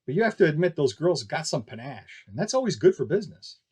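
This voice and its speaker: A man's voice: fairly high pitch, sing-song, fast, darkish tone, slight background noise, pitched above the performer's own voice. Man reading as an easygoing flying circus boss. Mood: placating. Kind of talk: audiobook character dialogue.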